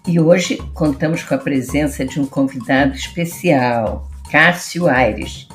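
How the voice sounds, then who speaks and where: A woman's voice: low voice, a little slow, soft background music. A woman hosting a food-history podcast, introducing guests and asking questions in a friendly way. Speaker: host.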